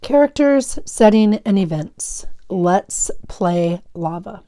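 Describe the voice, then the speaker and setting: A woman's voice: mid-range voice, lively intonation, takes it slowly, warm tone. A woman teaching fifth grade, explaining instructively and reading a story aloud to pupils.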